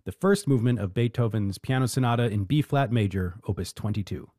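Polished male radio host, lowish voice. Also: lively intonation, fairly quick, no room sound, clean studio sound. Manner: warm, encouraging, polished. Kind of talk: broadcast interview. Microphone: studio mic.

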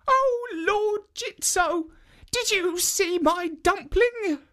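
A narrator voicing a giggling old woman who chases a dumpling, with drawn-out, sing-song cries in a very high, squeaky voice. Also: bright, forceful tone, full character voice. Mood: pleading. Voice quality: very high, cackling laugh.

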